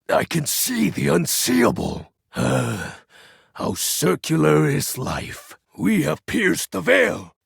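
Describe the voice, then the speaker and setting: An actor playing a melodramatic, edgy lord of shadows in a video game, pompous and theatrical, with a gravelly, breathy voice. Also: male voice, on the low side, no echo, studio quality, heavily acted. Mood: grandiose. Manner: pompous, theatrical, archaic wording. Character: melodramatic edgy male shadow lord, grandiose living darkness. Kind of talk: video game character acting.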